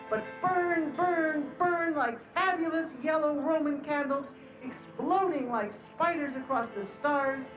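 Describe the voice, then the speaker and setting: A woman giving an impassioned dramatic recitation of literary prose. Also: high voice. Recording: echoey, dull lo-fi sound.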